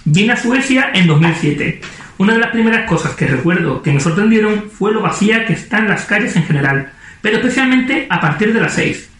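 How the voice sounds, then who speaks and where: A man's voice: high-pitched, sing-song, fairly quick, a bit bright. A man co-hosting a casual chat, joking and laughing often as he reads listener messages. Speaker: podcast co-host. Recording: very echoey room.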